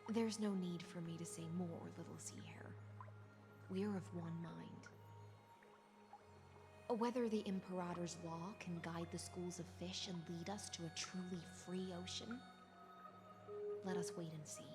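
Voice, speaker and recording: A philosophical warrior noblewoman of the sea in a video game. She speaks formally and with measured, lofty poise. Music plays clearly behind her. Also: fairly low pitch, steady tone. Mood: cool, measured. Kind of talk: scripted video game voice acting.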